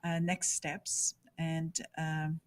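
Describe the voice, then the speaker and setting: A woman moderating a panel speaks in a lowish voice. She stops and restarts often, stringing her thoughts together with fillers. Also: completely flat delivery. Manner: halting, many restarts and fillers.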